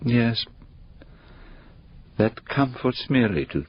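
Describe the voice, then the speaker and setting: An actor playing a courteous, probing foreign detective in a radio drama, with formal foreign phrasing and deliberate questions. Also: male voice, mid-range voice, big pitch swings, slight background noise. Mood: solemn resolve. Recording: dull, muffled radio drama recording.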